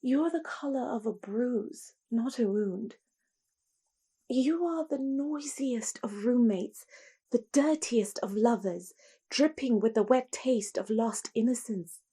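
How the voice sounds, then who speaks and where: A woman performs a poetic monologue in a husky voice edged with creak. She takes her time over each phrase, placing the words deliberately and with emphasis. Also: on the high side, mellow.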